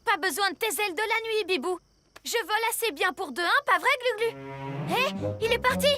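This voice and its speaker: A woman's voice: very high-pitched, lively intonation, brisk. A woman voicing a boastful, stubborn six-year-old boy superhero in a French cartoon dub, with music behind. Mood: cocky, insistent. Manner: boastful, insistent. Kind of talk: French animated series dubbing.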